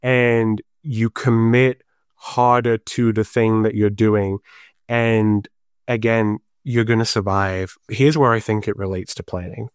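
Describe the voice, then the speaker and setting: Lively Liverpool man, low voice. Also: slow, clear, resonant tone, no echo, studio quality. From Liverpool, England. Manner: animated, self-deprecating storyteller. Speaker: guest, urban planner and improv comedian. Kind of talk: conversational podcast interview.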